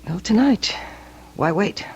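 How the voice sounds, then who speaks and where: A husky, cold-blooded female schemer. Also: mid-range voice, lively intonation, slow pace, full character voice. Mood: cold, impatient. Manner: curt, coolly decisive, sardonic. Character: cold, scheming, murderous woman plotter. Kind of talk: old-time radio drama acting. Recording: dull vintage radio recording.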